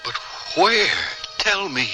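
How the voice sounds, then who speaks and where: A desperate widower in a radio drama. The voice is fairly high and very intense, with anguished, lively swings. Delivery is slow and dramatic. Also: male voice, faint music behind, full character voice. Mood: pleading. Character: desperate grieving husband pleading with his dead wife. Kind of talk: old-time radio drama acting.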